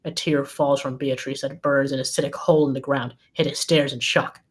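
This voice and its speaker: Narrator for a film table read, medium pitch, scripted scene descriptions and action lines. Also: flat delivery, a little fast. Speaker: stage direction narrator.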